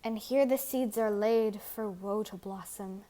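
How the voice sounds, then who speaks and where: A woman's voice: mid-range voice, unhurried, warm tone. A woman reading scripted dramatic narration in verse, as the storyteller of a play. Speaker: reader and narrator.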